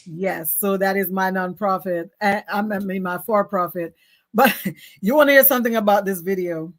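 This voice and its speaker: A woman's voice: medium pitch, normal speed. A woman hosting a talk show, chatting in an upbeat, casual way and laughing often.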